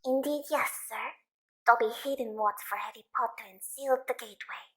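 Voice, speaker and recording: A woman's voice: a little high, a little fast, noisy background, lightly acted. A woman playing a small, anxious male house elf in an audio drama, deferential and speaking of himself in the third person. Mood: insistent, earnest. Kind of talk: fan audio drama acting.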